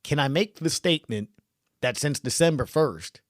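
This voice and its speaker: A man's voice: fairly high pitch, animated, normal speed, no echo, clean studio sound. A man hosting a sports podcast, talking through stats and games in an emphatic, punchy way with rhetorical questions. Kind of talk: animated sports talk, recapping stats.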